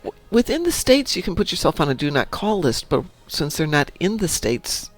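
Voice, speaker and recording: A man's voice: a little high, big pitch swings, normal speed, soft background music. A man hosting a live stream, chatting and telling rambling anecdotes. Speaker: stream host. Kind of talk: casual chat.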